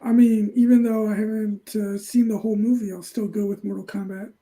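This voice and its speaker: A man's voice: sits high, little pitch movement, fairly quick, mellow, low-quality recording. A man chatting casually as a panelist in an informal group discussion. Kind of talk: casual chat.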